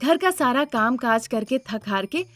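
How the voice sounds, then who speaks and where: A woman narrates a scripted cartoon tale. Her voice sits fairly high. Faint music runs behind the storytelling. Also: lively intonation, measured pace, a bit bright.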